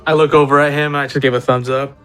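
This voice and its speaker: A man with a fairly high voice plays a tabletop game. He speaks informally, stating actions and asking questions, with light music behind. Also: flat delivery, fast talker, a bit bright, clear, resonant tone. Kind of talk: casual game talk.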